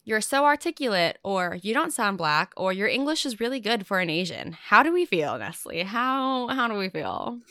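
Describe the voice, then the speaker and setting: A woman's voice: mid-range voice, very animated, normal speed, hardly any pauses, pushed and bright, dry recording, studio quality. A young American-born woman hosting a casual podcast chat, talking freely with many fillers. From United States. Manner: chatty, lots of fillers. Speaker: podcast host.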